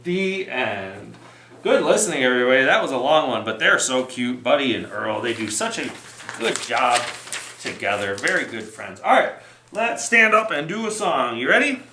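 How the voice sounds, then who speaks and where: Storytime host, lively pitch, bright voice, encouraging, talking to children, echoey room. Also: normal speed, a little hiss or hum. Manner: expressive, encouraging, addressing young children. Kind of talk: read-aloud storytelling with songs and rhymes. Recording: roomy, echoing sound.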